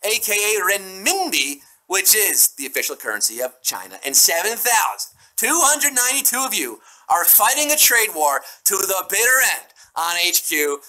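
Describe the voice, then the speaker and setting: A man hosting a live trivia game show with playful, punny, high-energy banter. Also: sing-song, normal speed, bright, forceful tone, faint music behind, low-quality recording. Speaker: quiz show host.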